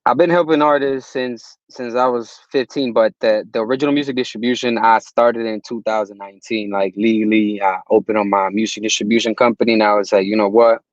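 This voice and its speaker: A man's voice: on the low side, a little fast, few pauses, dry recording. Man from Puerto Rico, laid-back slangy talk, dry muffled recording. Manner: relaxed, street slang, many restarts. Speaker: guest, music distributor. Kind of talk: casual interview talk.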